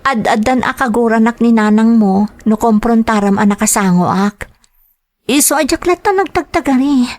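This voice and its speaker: A woman's voice: fairly high pitch, normal speed, natural voice. A woman acting a timid, anxious young girlfriend in a radio drama, pleading with an Ilocano Filipino accent. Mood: pleading, worried. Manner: pleading, apologetic.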